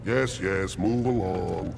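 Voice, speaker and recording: An actor playing an arrogant, grumbling alien warrior scientist in a video game, obsessed with weapons. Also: male voice, sits low, slow, gravelly, noisy background, full character voice. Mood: proud, irritated. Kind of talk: video game character acting.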